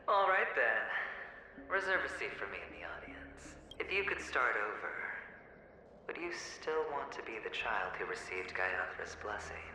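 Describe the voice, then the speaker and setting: A taunting phantom speaks in a video game, savoring words slowly. He is mocking and theatrical, sometimes dropping to a whisper. His voice swims in long reverb over clear noise. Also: on the low side, very animated, sharp tone, exaggerated voice. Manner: mocking, drawn-out, drifting into whispers. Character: taunting, theatrical male phantom needling a gambler. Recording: very echoey, reverberant, noisy game audio.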